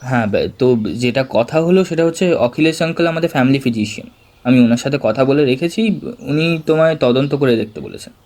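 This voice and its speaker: A man playing an earnest, excitable college student in an audio drama, hesitating and repeating words. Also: mid-range voice, brisk, natural voice. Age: young adult. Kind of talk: Bengali audio drama acting.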